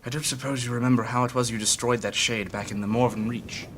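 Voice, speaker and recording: A man's voice: mid-range voice, steady tone, fast talker, a little hiss or hum, close to an everyday voice. An actor playing an earnest, worried male mage in a fantasy audio drama. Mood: puzzled.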